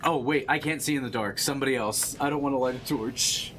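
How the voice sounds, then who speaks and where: A man's voice: mid-range voice, quick pace, fairly bright tone. A man chatting casually as a player in a tabletop roleplaying game, improvising his character's moves. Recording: clearly noisy room sound.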